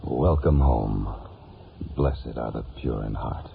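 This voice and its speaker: A man playing a solemn, ghostly great poet of old, grave, oracular and gravelly, in a 1950s radio drama. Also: very deep voice, slow, faint music behind, heavily acted. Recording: tinny, noisy vintage radio recording.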